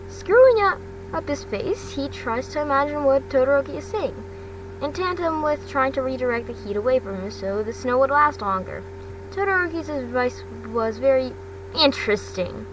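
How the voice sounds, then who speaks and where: A woman's voice: sits high, lively intonation, moderate pace. A woman reading fan fiction aloud in an amateur storybook style, often stumbling and repeating words. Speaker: fan fiction podcast reader. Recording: noisy home recording, distant mic.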